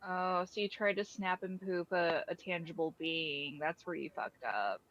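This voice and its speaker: Low, dull-sounding woman as a blunt void entity. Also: measured pace, a bit bright. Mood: blunt, cautioning. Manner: explanatory, casually sweary. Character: blunt, matter-of-fact otherworldly Void entity at home. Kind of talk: improvised comedy skit dialogue. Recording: dull lo-fi microphone.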